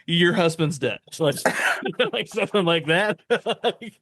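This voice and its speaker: A man's voice: sits high, animated, a little slow, fairly bright tone, no room sound. A man hosting a podcast, talking casually and jokingly with friends. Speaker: podcast host.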